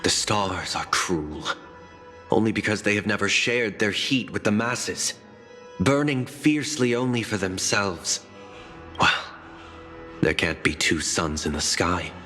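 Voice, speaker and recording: A man's voice: fairly low pitch, lively intonation, a little slow, pushed and bright, soft background music. An actor voicing a proud, zealous would-be god-king in a video game, declaiming in a grandiose way. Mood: defiant, resolute. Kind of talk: dramatic video game voice acting.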